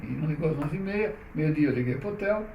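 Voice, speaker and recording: A man's voice: fairly high pitch, lively intonation, fast talker, lo-fi sound. A middle-aged man, telling stories as an interviewee. His talk is chatty and loose, full of restarts and questions tagged on. The mic sits well away from him in an echoing room, with a light hiss behind him. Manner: chatty, frequent "né?" tags, restarts. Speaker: interviewee, publisher. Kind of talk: relaxed conversational storytelling. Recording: roomy, distant mic, faint noise.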